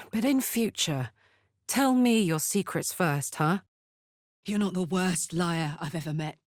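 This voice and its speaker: A woman voicing a tough, playful, warm-hearted tiefling warrior woman in a video game, talking bluntly and colloquially with plenty of swearing. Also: on the low side, expressive, slow, no echo, clean studio sound. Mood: frank, friendly. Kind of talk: video game character voice lines.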